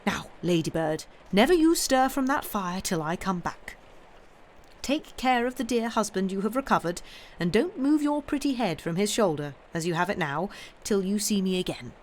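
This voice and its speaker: A woman's voice: animated, slight background noise, lightly acted. A woman playing a fierce, loyal old English companion. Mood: emphatic. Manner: brisk and emphatic, rising high and loud.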